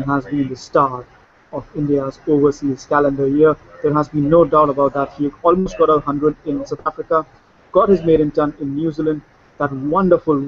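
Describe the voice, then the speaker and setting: A man breaks down a cricket match in relaxed conversation, with "uh"s and repeated starts along the way. His voice sits a little high, and the sound is dull and lo-fi with a faint hiss behind it. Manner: fluent, with fillers and restarts. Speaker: cricket analyst. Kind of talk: casual cricket discussion. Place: Sydney, remote link.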